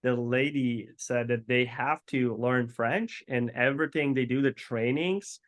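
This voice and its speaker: Man host, halting speech. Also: medium pitch. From Poland. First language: Polish. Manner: halting, repeats words while searching for them. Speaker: podcast co-host. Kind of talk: casual interview.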